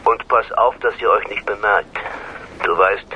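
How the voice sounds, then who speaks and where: An actor playing a curt boss who gives orders for a covert tail in a radio crime drama. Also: male voice, fairly low pitch, unhurried, dull audio, a little hiss or hum, lightly acted. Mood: cool, commanding. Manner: curt, questioning, giving orders. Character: commanding male boss directing a covert tail.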